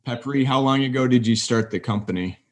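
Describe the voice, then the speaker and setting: A man's voice: mid-range voice, fast talker. A man hosting a business podcast, reading an upbeat scripted intro and then chatting with his guest. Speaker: podcast host.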